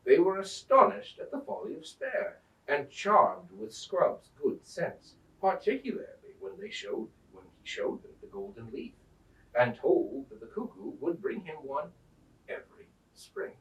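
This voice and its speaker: A man reading an old tale aloud as a storyteller, in a theatrical, deliberate manner. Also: a little high, very animated, fairly bright tone. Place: indoors at a museum. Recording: roomy echo, distant microphone.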